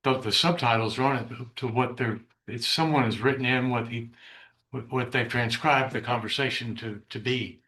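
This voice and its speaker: A man's voice: mid-range voice, normal speed, bright, forceful tone. A man speaking as a defense lawyer in a courtroom, in hesitant, fragmented phrases. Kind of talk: courtroom objections.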